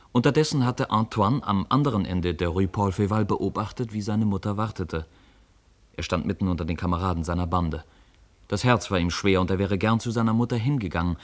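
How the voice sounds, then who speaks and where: A man narrating a scripted radio play as a measured, literary storyteller. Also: low-pitched.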